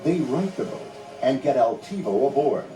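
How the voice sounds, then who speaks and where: A man's voice: medium pitch, animated, moderate pace. A man reading a children's read-along story in a dramatic, scripted storytelling manner. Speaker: narrator. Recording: roomy, distant sound under music.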